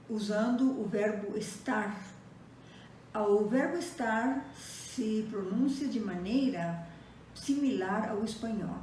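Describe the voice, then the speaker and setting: Slow, mellow woman teacher, echoey room. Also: on the high side, distant mic. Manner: teaches by comparing Portuguese and Spanish, reading out example sentences. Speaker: Portuguese teacher. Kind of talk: slow, deliberate grammar lesson. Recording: very echoey room, voice set back from the mic, faint noise.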